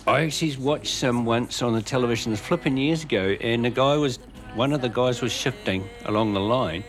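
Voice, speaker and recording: A man with an average-pitched voice. He chats casually as a radio co-host, putting questions in a relaxed conversational way, with faint music behind. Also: fairly quick. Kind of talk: casual chat, asking questions.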